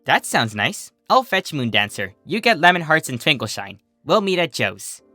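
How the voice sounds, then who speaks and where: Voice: mid-range voice, big pitch swings, moderate pace, pushed and bright, dry recording, faint music behind. A narrator voicing a practical, friendly but mildly exasperated female unicorn pony in an earnest storybook style.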